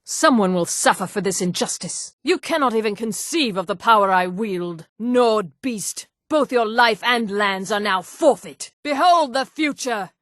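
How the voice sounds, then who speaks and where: An actress playing a proud, haughty high elf woman in a fantasy video game, speaking with crisp, condescending articulation. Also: lively intonation, a little slow, few pauses, fairly bright tone, no echo, studio quality. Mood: cold, threatening, then imperious, menacing. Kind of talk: scripted video game voice lines.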